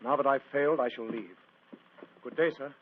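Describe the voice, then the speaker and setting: A man's voice: medium pitch, fairly quick, husky, natural voice. An actor playing a stiff, disapproving clergyman with a curt, formal manner, in 1940s radio drama. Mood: stern, disapproving. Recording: dull old radio recording.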